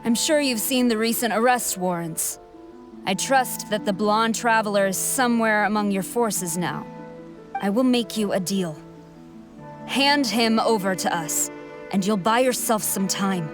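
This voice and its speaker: An actress playing a haughty, coldly confident army commander in a video game, speaking in a measured, imperious and condescending way. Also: female voice, medium pitch, over music, natural voice. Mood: cold, bargaining. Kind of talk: dramatic video game voice acting.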